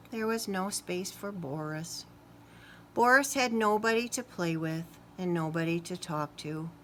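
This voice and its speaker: A woman reading a picture book aloud to young children expressively, pausing to ask them questions. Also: mid-range voice, fairly bright tone, slight background noise. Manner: talks to young listeners, asking questions. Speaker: children's story reader.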